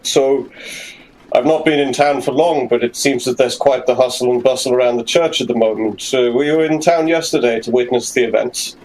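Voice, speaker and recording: A man voicing a polite, nosy gentleman in a tabletop game, making formal, probing small talk. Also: mid-range voice, a little fast, lightly acted, low-quality recording. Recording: clear background noise, room ambience.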